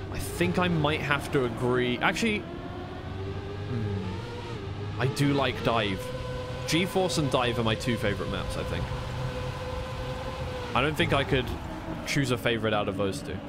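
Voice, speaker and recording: A man's voice: medium pitch, expressive, a little slow, long pauses, heavy background noise. A man doing live esports play-by-play commentary in a conversational way, thinking aloud as he goes.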